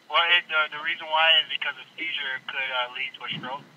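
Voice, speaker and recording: A man working in store tech support, explaining things patiently and politely in halting phrases over a telephone. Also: fairly high pitch, fairly even intonation, bright, forceful tone. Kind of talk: phone customer service, explaining. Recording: noisy telephone line, prank call recording.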